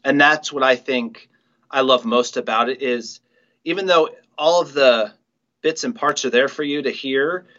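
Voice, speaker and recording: A man's voice: mid-range voice, unhurried, pushed and bright. A middle-aged man talking casually as an interview guest, reflective and often qualifying what he says. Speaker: guest, audio play writer and director. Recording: slightly dull, lo-fi sound.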